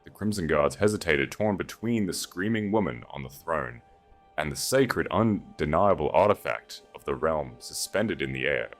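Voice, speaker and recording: Male audiobook narrator, bass register, dramatic fantasy storytelling over soft music. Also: lively intonation, normal speed. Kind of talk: dramatic scripted narration of a romantic fantasy tale.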